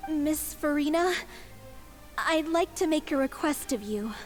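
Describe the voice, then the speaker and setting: A soft-spoken ailing young actress, high pitched, formal and sorry, game character. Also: female voice, expressive, measured pace, faint music behind. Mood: earnest, apologetic. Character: ailing young actress, gentle, polite and apologetic.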